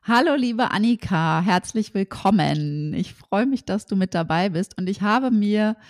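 A woman's voice: medium pitch, expressive, measured pace, a little mellow, dry recording, clean studio sound. A woman from Berlin hosting a podcast interview, chatty and upbeat, full of fillers and restarts. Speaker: podcast host. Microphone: remote call, host side.

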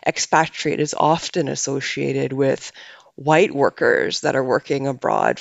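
A woman researcher explaining migration in a measured academic podcast discussion, often restarting her sentences. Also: low-pitched, vocal fry, no room sound, dull audio. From Canada.

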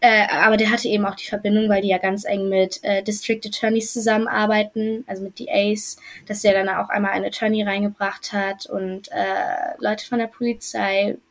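A woman's voice: mid-range voice, little pitch movement, fairly quick, creaky, hardly any pauses. A young woman from Germany in her twenties, chatting in an interview about her exchange year, with many fillers and restarts. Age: 20s. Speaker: returning exchange student. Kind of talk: casual interview.

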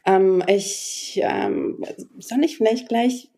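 A woman's voice: mid-range voice, very slow, warm tone. A woman in her forties, a podcast guest telling her personal story in a reflective, hesitant way with many fillers. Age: 40s.

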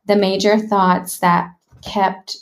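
Canadian-raised woman, coach guest, candid and musing, medium pitch. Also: little pitch movement, slow pace. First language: English. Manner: frank, thoughtful, many "like" and "you know" fillers. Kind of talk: reflective personal storytelling.

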